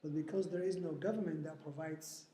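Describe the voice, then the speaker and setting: A man's voice: fairly high pitch, steady tone, brisk, mellow. A man from Somalia answering interview questions earnestly, with frequent hesitant fillers. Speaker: interviewee, nonprofit board president. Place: event venue after a fundraiser. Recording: roomy echo, faint background noise.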